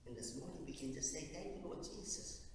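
A man from South Africa preaching at a communion service, with fervent loud peaks that drop to quiet low stretches and frequent halting restarts. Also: lively intonation. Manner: halting, repeats words and restarts. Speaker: lay preacher. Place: large echoey church hall. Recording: echoey hall from far off, faint hiss.

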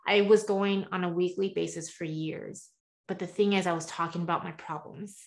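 A woman's voice: on the low side, normal speed. Woman guest, forties, earnest, chatty asides, webcam audio from a home room. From born in the US, partly raised in Taiwan. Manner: earnest, animated hand gestures, frequent "right?" asides. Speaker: guest coach. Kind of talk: candid interview storytelling. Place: home room with shelves, video call.

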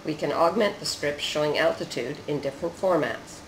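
A narrator reading a scripted research demonstration in a measured, explanatory way. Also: mid-range voice. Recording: roomy echo with noticeable background noise.

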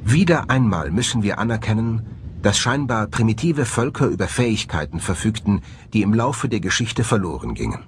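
A man's voice: fairly low pitch, very animated, moderate pace, background noise. A man delivering scripted documentary voice-over narration. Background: background music.

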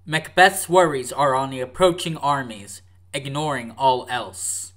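A man's voice: medium pitch, measured pace, fairly bright tone. A man giving scripted narration for a radio drama.

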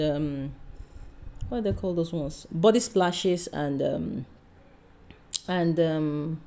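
A woman's voice: fairly low pitch, warm tone. A woman host talking through a homemade soap tutorial in a chatty, rambling way, with many fillers and self-corrections. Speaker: soap-making channel host. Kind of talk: casual tutorial voice-over.

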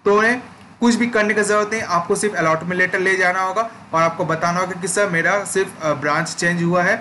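Young man, tutorial host, bright tone, repeated check-ins, faint background hiss. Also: high-pitched, fairly even intonation, brisk. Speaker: education channel host. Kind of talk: screen-recorded tutorial walkthrough.

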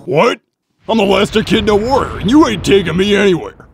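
A man's voice: high-pitched, animated, a little fast, vocal fry, soft background music. Flustered, stammering echidna warrior played by a man. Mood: defensive, flustered. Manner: stammering, easily flustered, earnest. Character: gruff, dense, flustered young male echidna warrior. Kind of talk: comic dub voice acting.

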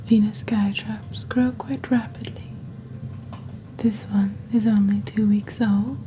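Hushed, very slow, breathy witch played by a woman. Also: mid-range voice, steady tone, a little hiss or hum, natural voice. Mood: gently playful. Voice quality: breathy, whispery. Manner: slow, deliberate, hushed storytelling. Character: soft-spoken witch presenting magical wares on a shopping channel. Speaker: ASMR performer as shopping channel presenter. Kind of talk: soft-spoken ASMR roleplay, scripted presenting. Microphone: close desk microphone.

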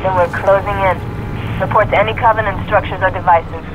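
A woman's voice: medium pitch, steady tone, normal speed. A woman playing a cool, efficient operations soldier in a video game, businesslike and commanding over a military radio. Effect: radio filter. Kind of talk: cinematic game acting. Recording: muffled, noisy military radio link.

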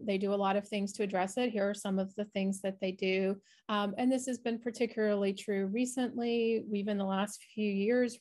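A woman's voice: mid-range voice, a little mellow. A middle-aged woman, a researcher explaining her work in an online presentation over a video call. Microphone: video call, webcam framing.

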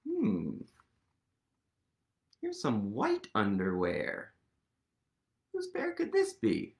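Very animated man reading a picture book aloud. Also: lowish voice, very slow, gravelly. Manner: playful, exaggerated wonder, asks listeners questions. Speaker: storytime reader. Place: small room at home, indoors.

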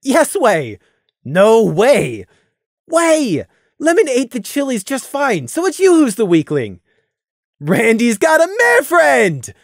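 A storyteller voicing a rowdy young pony stallion who loudly teases his friend. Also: mid-range voice, huge pitch swings, takes it slowly, no room sound, studio quality, pitched above the performer's own voice, heavily acted. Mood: teasing. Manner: boisterous, teasing, pushy.

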